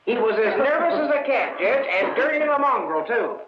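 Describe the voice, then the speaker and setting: A man's voice: very high-pitched, big pitch swings, brisk, background noise. An actor playing a plain-spoken, gossiping small-town man in an old radio drama, with a folksy, colloquial delivery. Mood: animated testimony. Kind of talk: 1940s-50s radio drama acting. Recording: roomy, muffled old radio broadcast.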